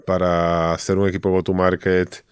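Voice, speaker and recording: A man's voice: deep voice, completely flat delivery, moderate pace, clear, resonant tone, dry recording, clean studio sound. A man hosting a conversational interview, asking direct, probing follow-up questions. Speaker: podcast host, interviewer.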